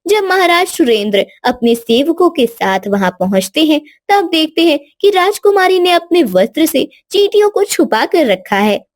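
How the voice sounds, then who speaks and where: Very high-pitched woman narrating a children's story. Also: big pitch swings, normal speed, few pauses, no echo, studio quality.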